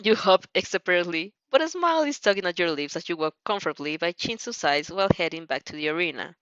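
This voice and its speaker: A woman's voice: on the low side, lively intonation, quick pace, fairly bright tone, dry recording, highs cut off. A woman narrating fan fiction expressively, giggly and tripping over words, with spontaneous reactions.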